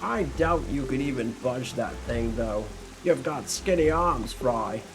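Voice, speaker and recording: A man's voice: medium pitch, lively intonation, unhurried, background noise, voice pushed up. A man voicing a cocky fish in a video game, teasing and brusque as he hands out condescending advice.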